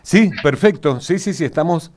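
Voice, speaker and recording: A man's voice: fairly high pitch, normal speed, a little mellow. A man with an Argentine accent presenting live radio as a studio anchor.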